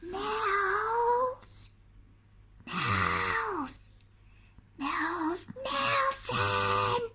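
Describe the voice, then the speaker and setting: A woman's voice: extremely high pitch, wildly swooping pitch, very slow, pauses often, background noise, voice pushed up, exaggerated voice. A woman voicing a rowdy, mischievous band of trolls in a children's storybook, shrieking and taunting. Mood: sly calling. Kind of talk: storybook character voice.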